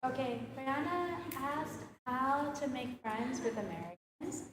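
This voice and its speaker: Slow-speaking woman heard from far off in a hall. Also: high voice, lively intonation. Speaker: teacher. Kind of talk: answering questions from students. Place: large school hall. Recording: distant, echoey hall with crowd.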